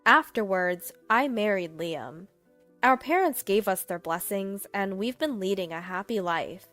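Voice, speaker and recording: A woman's voice: mid-range voice, animated, a little slow, fairly bright tone, soft background music. A woman narrating a scripted first-person story as its storyteller.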